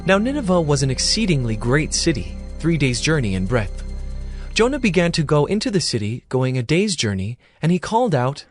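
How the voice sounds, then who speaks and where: A man's voice: fairly high pitch, very animated, a little fast, soft background music. Man narrating scripture expressively.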